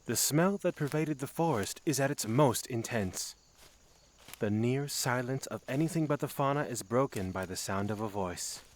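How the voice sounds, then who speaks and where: A man reading scripted narration for a fantasy audio drama. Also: mid-range voice.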